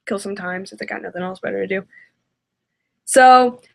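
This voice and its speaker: A woman's voice: mid-range voice, moderate pace, creaky. A woman giving casual reaction commentary as a video host, hesitant and self-deprecating.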